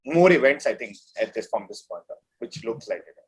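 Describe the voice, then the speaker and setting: A man's voice: mid-range voice, expressive, a little fast, slightly rough, poor audio. A man talking informally as an interview guest, rambling along in a casual chat. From India. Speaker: metal musician, interview guest.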